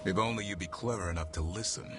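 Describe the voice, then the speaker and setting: A man's voice: deep voice, fast talker, music in the background, natural voice. An actor playing a skeptical, blunt male companion in a video game, with a dry, terse manner. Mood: dry, gruffly fond. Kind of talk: video game cinematic acting.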